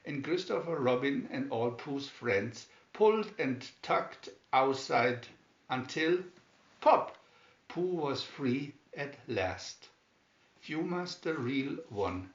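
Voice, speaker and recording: A man reading a children's story aloud in a deliberate, expressive way, with emphatic swoops on key words. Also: mid-range voice. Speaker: storybook reader. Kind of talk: scripted children's story narration, read aloud slowly and expressively. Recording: dull, roomy home recording.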